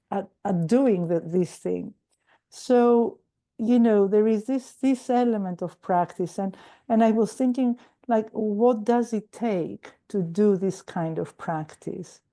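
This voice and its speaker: A woman from Greece sharing her story as guest speaker at a recovery meeting, earnest and hesitant with many restarts, her voice a bit raspy from a cold, speaking over a video call. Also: medium pitch, mellow. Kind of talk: personal sharing talk.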